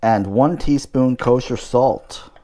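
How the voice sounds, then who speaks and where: A man's voice: medium pitch, slow, mellow, a little hiss or hum. A man hosting a home cooking show, talking through each step in a casual way with dry, wry asides.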